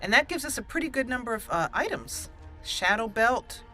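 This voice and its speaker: A woman's voice: on the high side, big pitch swings, normal speed, sharp tone, faint music behind. A young woman casually commenting on her game as she plays, chatty and giggly, rambling with fillers. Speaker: let's play host.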